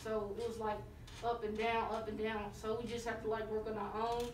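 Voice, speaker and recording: Flat-voiced young woman player, subdued. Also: medium pitch. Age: teens. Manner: halting, subdued, casual grammar. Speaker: senior basketball player. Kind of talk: press conference answers. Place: small room at an arena. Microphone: far camera. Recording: roomy, distant, noisy.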